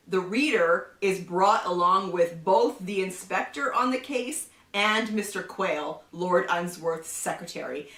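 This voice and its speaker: A woman with a cold hosting a casual book review, chatty, often laughing and clearing her throat. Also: on the low side, fairly bright tone. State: has a cold. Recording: roomy echo, somewhat far from the mic.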